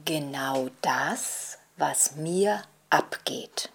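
A woman speaking as an expert, slow and hesitant, often pausing with fillers, in a breathy, half-whispered voice. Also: deep voice. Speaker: expert interviewee. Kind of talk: thoughtful spoken commentary.

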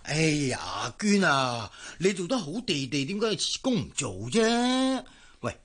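A man's voice: on the high side, very animated, unhurried, fairly bright tone, full character voice. An actor playing a kindly, fussy older male servant in a Hong Kong Cantonese radio drama, chatty and coaxing. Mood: surprised, coaxing.

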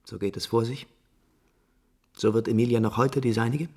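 An actor playing a displeased, disdainful prince in a classical German radio play, formal and reproachful. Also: male voice, medium pitch, fairly even intonation, moderate pace, darkish tone, natural voice. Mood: bitter reproach. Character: displeased, disdainful prince brooding over a failed scheme.

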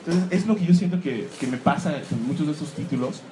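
A man's voice: fairly high pitch, fast talker, rough recording. A man giving a live, joking talk about comics to an audience in a room. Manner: joking, self-mocking, animated asides. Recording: clear background noise.

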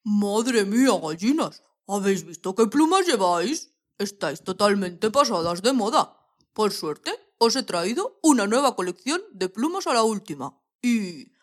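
A woman's voice: mid-range voice, very animated, measured pace. A woman playing a crafty, persuasive farmer in a children's audio story. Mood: theatrical, persuasive. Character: crafty, persuasive male farmer selling deals to hens.

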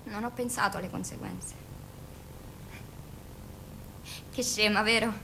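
A woman's voice: medium pitch, steady tone, fairly quick, vocal fry, noisy background, natural voice. A woman acting in an Italian radio drama as a bitter, volatile young female patient, defiant and sarcastic, who swears and confronts. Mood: bitter, unsettled. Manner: sarcastic, confrontational, foul-mouthed.

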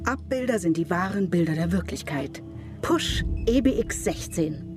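An actress in a German radio play playing a detached, irritable mother and lecturer, speaking in a measured, formal, didactic way. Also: lowish voice, animated, over music, natural voice. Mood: musing. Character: Vashti, detached, irritable mother and lecturer in machine-run future.

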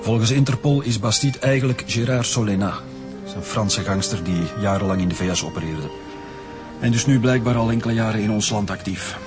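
A male actor plays a senior Flemish police boss in a radio serial. He speaks formally and with composure in a lowish voice, music beneath him. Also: brisk, lightly acted. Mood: formal, satisfied. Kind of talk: radio crime drama acting. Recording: music under the voice.

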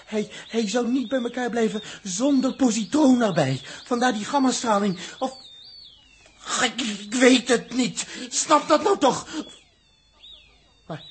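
An actor playing an agitated, guilt-ridden technician on a space crew in a 1960s radio drama, stammering and repeating words. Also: male voice, very high voice, unhurried. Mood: frustrated. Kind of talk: 1960s Dutch radio play acting. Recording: noisy old 1960s radio recording.

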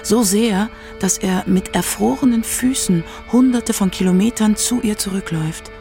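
Creaky-voiced narrator of a radio feature. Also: mid-range voice, normal speed, mellow. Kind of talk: scripted documentary narration. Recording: music behind the voice. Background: instrumental music.

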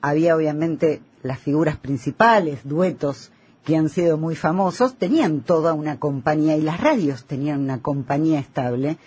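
A man's voice: a little high, normal speed, a little mellow, husky. A man with an Argentine accent hosting a conversational radio interview. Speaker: radio host.

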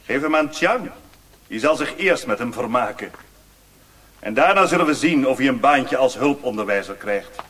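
An actor playing a haughty, sardonic Chinese warlord governor in a radio drama, imperious and questioning. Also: male voice, mid-range voice, animated, normal speed, fairly bright tone, far from the mic, close to an everyday voice. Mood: imperious, mocking. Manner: imperious, interrogating.

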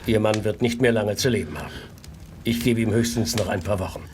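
A man's voice: lowish voice, background noise, natural voice. Grave, clinical Victorian doctor played by a man. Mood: grave, matter-of-fact. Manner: measured, clinical. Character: grave, matter-of-fact Victorian family doctor. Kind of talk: radio drama acting.

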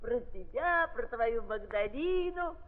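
An actress playing an imperious old countess, a blunt and exclamatory aristocratic aunt, in the theatrical style of 1930s Russian radio drama. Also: female voice, fairly high pitch, lively intonation, slow pace. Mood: insistent, persuasive. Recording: muffled 1930s recording, long hall reverb.

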